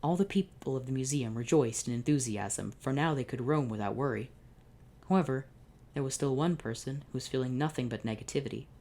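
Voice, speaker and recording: A woman reading fanfiction aloud in a deadpan narration, breaking off for exasperated sarcastic asides. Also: rumbling low, brisk. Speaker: fanfiction reader.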